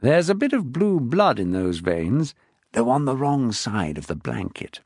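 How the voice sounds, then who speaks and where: A man voicing a seasoned naval captain, brisk and knowing, a little self-flattering. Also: medium pitch, breathy edge, no echo, clean studio sound, full character voice.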